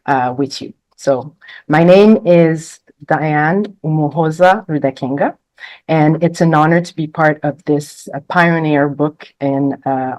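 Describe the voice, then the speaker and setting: A woman in her forties delivers a prepared talk as a panelist. Her voice sits low, and the sound is dry and close, with very little room in it. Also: darkish tone, dry recording. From Quebec, Canada. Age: 40s. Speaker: panelist, book contributor. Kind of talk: prepared speech read to an audience.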